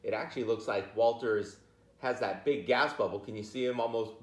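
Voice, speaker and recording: A man reading a children's picture book aloud in an informal, jokey, expressive way, with chatty asides. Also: fairly low pitch, fairly quick, reverberant.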